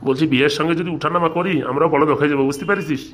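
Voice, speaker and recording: A man's voice: on the high side, rapid-fire, a bit bright. A man voicing a scheming, blustering father of a grown son in a comic cartoon. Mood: wheedling. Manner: wheedling, blustering, colloquial.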